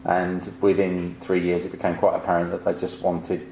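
Male, fifties, low voice, wry sweary live raconteur, very echoey room. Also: completely flat delivery, normal speed, mellow. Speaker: comedian speaking on stage. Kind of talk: informal live storytelling to an audience. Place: indoor event before an audience. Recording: echoey live room with clear background noise.

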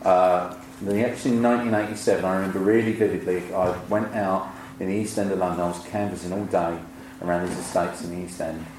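Male, fifties, low voice, wry sweary live raconteur, very echoey room. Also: steady tone, fast talker, few pauses, darkish tone. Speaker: comedian speaking on stage. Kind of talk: informal live storytelling to an audience. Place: indoor event before an audience. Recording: echoey live room with clear background noise.

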